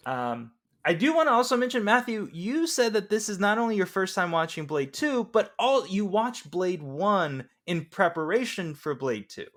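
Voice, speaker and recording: A man's voice: high voice, very animated, normal speed, few pauses, fairly bright tone. A man hosting a podcast, talking casually about films. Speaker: podcast host. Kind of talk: casual film discussion.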